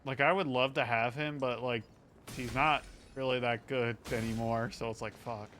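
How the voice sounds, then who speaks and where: A man's voice: mid-range voice, fairly even intonation, moderate pace, noisy background. A man streaming a game, chatting casually and informally with some swearing. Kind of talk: casual chat over gameplay.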